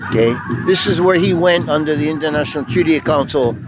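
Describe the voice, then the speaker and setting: An older man from Hawaii gives an earnest, halting impromptu speech as an activist, rambling with pauses. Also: on the high side, over loud music.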